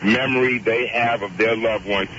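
A deep-voiced man calling in to a radio talk show, earnest and wordy, often cutting in to make his point. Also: steady tone, moderate pace. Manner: earnest, wordy, interrupts with repeated "wait a minute". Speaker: caller. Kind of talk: radio call-in chat. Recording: dull radio audio with clear hiss.

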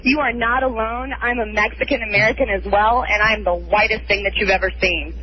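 A woman's voice: mid-range voice, steady tone, brisk, slight background noise. A woman calling into a radio show, chatting and giggling with the hosts. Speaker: caller. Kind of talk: phone-in chat. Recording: muffled phone-in radio audio.

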